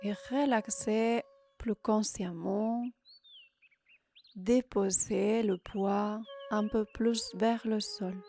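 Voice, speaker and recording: A woman guiding a meditation as a yoga nidra teacher, in short, unhurried phrases with long pauses, giving soothing instructions. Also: medium pitch, steady tone, warm tone, slight background noise.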